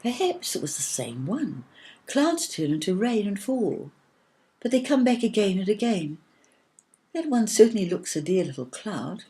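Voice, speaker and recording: A woman voicing a kindly, patient female fairy who tells a story in a measured, lilting way. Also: mid-range voice, very animated, warm tone, husky, pitched above the performer's own voice. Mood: musing.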